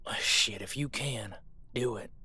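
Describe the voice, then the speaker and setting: A gruff veteran hunter acted by a man from a supernatural audio drama. He is scornful and bossy in a folksy way, with a tense, bright, mid-pitched voice. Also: a little slow, heavily acted. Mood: scheming, scornful. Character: gruff veteran male monster hunter, profane, scornful boss.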